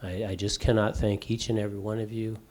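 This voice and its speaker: Loud, emphatic middle-aged man. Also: lowish voice, little pitch movement, quick pace. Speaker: faculty member in the audience. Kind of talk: impromptu remarks from the floor.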